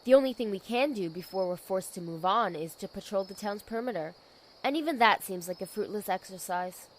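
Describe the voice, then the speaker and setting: A woman playing a dutiful, weary knight commander in an audio drama, speaking in a measured, authoritative way. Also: medium pitch, lively intonation, a little hiss or hum, close to an everyday voice, low-quality recording. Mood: pondering.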